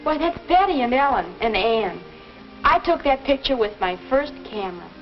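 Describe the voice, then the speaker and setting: An earnest American teenage girl in a 1950s educational film. Her voice is fairly high and girlish, full of curiosity, on a slightly dull old soundtrack with music behind it. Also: big pitch swings, moderate pace. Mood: reminiscing. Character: earnest, curious teenage daughter learning about love. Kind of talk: 1950s instructional film acting.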